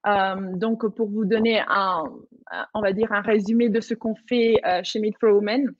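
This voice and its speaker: A woman's voice: medium pitch, fairly even intonation, moderate pace, dry recording. A young woman from Madagascar, a panel guest speaking on a video call, hesitant with many fillers as she searches for words. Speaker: panel guest, social entrepreneur. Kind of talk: online panel talk. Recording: dull lo-fi sound.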